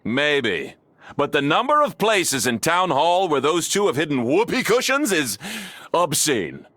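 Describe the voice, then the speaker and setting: An actor voicing a pompous, disapproving small-town official in a video game, with formal delivery. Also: male voice, on the high side, huge pitch swings, unhurried, sharp tone, heavily acted.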